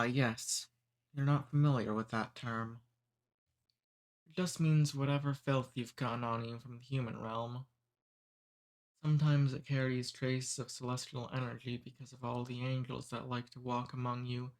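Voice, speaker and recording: A courteous demon who abducts humans speaks softly and at an easy pace, as a man acts him out in an ASMR roleplay. He is amused and gently teasing, never threatening, and his voice sits in a plain middle register. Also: medium pitch, level tone, measured pace, close to an everyday voice. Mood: amused cordial.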